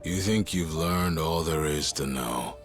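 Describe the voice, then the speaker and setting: An actor playing a cocky gangster rival in a video game cutscene, talking low and mocking in a husky half-whisper. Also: male voice, a little slow. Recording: game audio with music behind.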